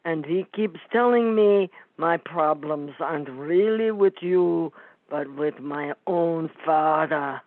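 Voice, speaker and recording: A woman playing a pompous, neurotic psychiatrist in a comic radio play, with a measured clinical delivery and tinny, phone-like sound. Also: low-pitched, no echo. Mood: hesitant, neurotic.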